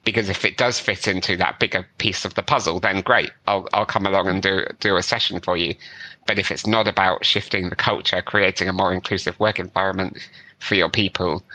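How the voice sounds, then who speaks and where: Low-voiced, bright British man hosting. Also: fairly even intonation, a little fast, no echo, rough recording. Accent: neutral British. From West Country, England. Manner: chatty, with frequent restarts and fillers. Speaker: podcast host. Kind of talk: conversational interview.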